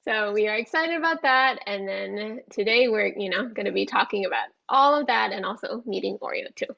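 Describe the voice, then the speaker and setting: A woman's voice: medium pitch, brisk, vocal fry, sharp tone. A woman from Thailand, a guest in a casual interview, talking in a friendly way and laughing often. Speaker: guest, pet social media creator. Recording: dull lo-fi sound.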